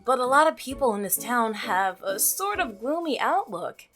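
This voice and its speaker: A woman's voice: a little high, sing-song, normal speed, music in the background. A woman reading the lines of a chatty, friendly young male milkman in a visual novel game, in a cheerful, rambling way. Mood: upbeat chatter. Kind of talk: reading game dialogue aloud.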